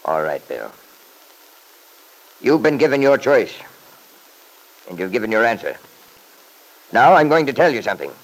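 Bossy rich older dad in an old radio play, blustery, scornful, voice swings all over. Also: male voice, mid-range voice, sing-song, normal speed, long pauses, poor audio. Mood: scornful, threatening. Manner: blustering, imperious. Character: domineering, wealthy older father, scornful and stubborn. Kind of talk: old-time radio drama acting.

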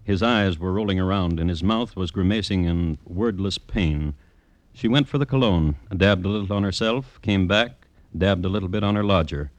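A man's voice: deep voice, moderate pace, no room sound. A man narrating a scripted old-time radio drama in a wry, dramatic storytelling manner.

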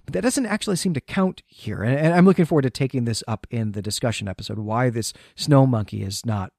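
A man's voice: mid-range voice, fast, creaky, a little mellow, no room sound. Male literary podcast host: mid-range voice, analytical and conversational, recorded dry. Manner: analytical, repeats words as he thinks aloud. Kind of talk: conversational literary recap, partly reading quotes aloud.

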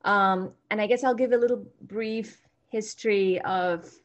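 Woman, 30s, guest speaker on a video call, chatty and self-deprecating, mid-pitched. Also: fairly even intonation, measured pace, muffled recording. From India. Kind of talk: informal online talk with slides.

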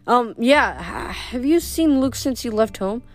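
A woman's voice: a little high, animated, unhurried, soft background music. A woman voicing a polite, uneasy adolescent boy hero in a storybook, with hesitant, careful, subdued questions. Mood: cautious.

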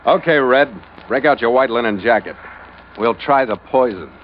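An actor playing a tough, suspicious sea captain, narrating in a clipped, terse 1940s radio style. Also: male voice, mid-range voice, lively intonation, moderate pace, close to an everyday voice. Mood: gruff, wary. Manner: clipped, hard-boiled, terse. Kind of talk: 1940s radio acting, first-person narration of a ship's log. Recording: dull vintage radio recording, loud music.

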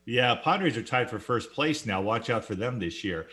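Man, talk show co-host, enthusiastic bantering, medium pitch. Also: expressive, normal speed, a bit bright. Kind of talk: casual radio chat.

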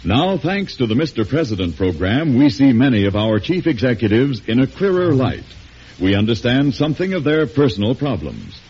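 A man with a husky voice delivering scripted mid-century radio announcements. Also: mid-range voice, very animated, normal speed, a little mellow. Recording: muffled old radio broadcast.

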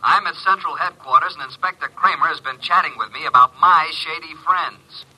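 An actor playing a wisecracking, flippant detective's assistant with deadpan cheek, in old-time radio drama style. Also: male voice, fairly high pitch, brisk, breathy edge, full character voice, poor audio. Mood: sly, needling.

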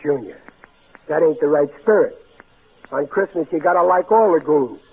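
A man's voice: on the high side, animated, moderate pace, a little hiss or hum. An actor playing a blundering, good-natured working-class father in old radio comedy, with folksy ungrammatical speech and stammering. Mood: coaxing. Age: middle-aged. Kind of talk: 1940s radio comedy acting. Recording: tinny, narrow-band old radio recording.

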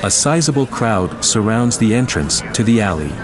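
A man's voice: lowish voice, very animated, moderate pace, background noise. A man giving scripted narration for an audio drama. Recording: music clearly behind voice.

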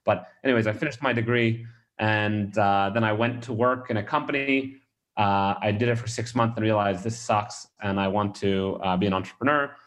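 A man's voice: on the low side, moderate pace, a bit bright. A man from Australia, a startup founder, answering interview questions in a rambling way with many restarts and fillers.

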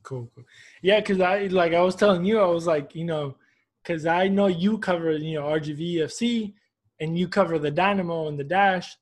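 A man's voice: high voice, normal speed. A man from Houston hosting a casual, informal soccer interview show, chatting in friendly slang. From Houston, United States.

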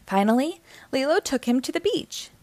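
A woman's voice: high voice, sing-song, normal speed, a little mellow, no echo. A woman reading a children's story aloud as scripted narration.

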